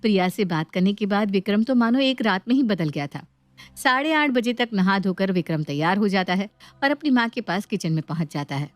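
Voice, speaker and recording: Female storyteller for scripted story narration, medium pitch, close dry sound. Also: animated, brisk, no echo.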